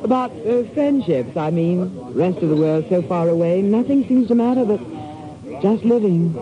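A woman's voice: mid-range voice, animated, normal speed, crowd noise, natural voice. An actress in a 1950s radio drama playing a wistful, flirtatious woman passenger on a ship. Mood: dreamy, coy. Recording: dull old radio recording, busy background.

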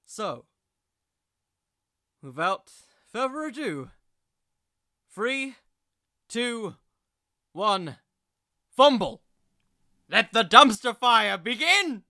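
Bright-voiced podcast host, informal and self-deprecating. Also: mid-range voice, sing-song, drawn-out words, pauses often, dry recording, clean studio sound. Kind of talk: casual solo podcast commentary.

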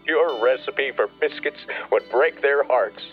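Radio play farmer, male actor: bitter, nostalgic, plain-spoken, unhurried, muffled sound with music behind. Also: mid-range voice, close to an everyday voice. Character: grieving, bitter rural farmer, proud and nostalgic.

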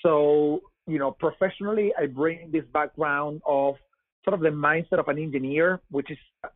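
A Venezuelan man in his forties with a Venezuelan Spanish accent and a fairly high voice. He chats casually as an interview guest, restarting words and leaning on "you know". The sound is dry and thin, like a phone line on a web call. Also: moderate pace, dry recording. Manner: repeats words, many "you know" fillers, laughs easily. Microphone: over a web call.

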